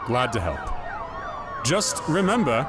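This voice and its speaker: An actor playing a smug, scheming crime boss turned politician in an audio drama, chuckling with mock politeness. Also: male voice, mid-range voice, wildly swooping pitch, a little slow. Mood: smug, gleeful. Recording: sirens and loud noise behind.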